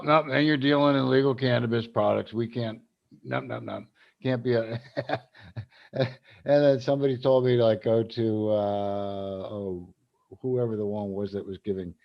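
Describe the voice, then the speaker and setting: A man's voice: lowish voice, gravelly, muffled recording. A man from South Carolina, hosting a casual advocacy livestream, rambling with many fillers and restarts. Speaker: cannabis advocacy group host.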